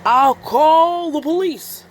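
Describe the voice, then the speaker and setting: A woman's voice: high voice, slight background noise, pitched above the performer's own voice. A woman voicing a stern elderly male turtle shopkeeper in a storybook, drawing out each scolding word. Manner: very slow, drawn-out scolding. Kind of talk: children's storybook read-aloud.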